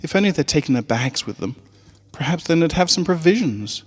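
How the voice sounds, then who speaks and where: A man's voice: a little high, expressive, a little fast, slightly rough, long reverb tail, pitched above the performer's own voice. A man voicing an anxious, motherly female pony schoolteacher from a story, fretful and earnest. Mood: weary.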